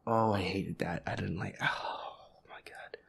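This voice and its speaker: A young man giving casual gameplay commentary on a horror game, swearing and muttering jitterily in a hushed, breathy voice. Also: low-pitched, steady tone, measured pace. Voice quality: breathy, hushed, often whispering. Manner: profane, jittery, muttering to himself and at the game's ghost. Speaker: gamer playing a horror game.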